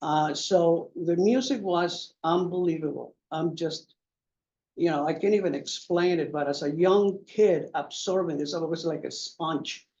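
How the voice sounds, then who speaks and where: Older male panelist, slow and halting, dull lo-fi room recording. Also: fairly high pitch, creaky, darkish tone. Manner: halting, earnest storytelling. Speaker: panelist, veteran DJ. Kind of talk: reminiscing at a panel. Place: conference room.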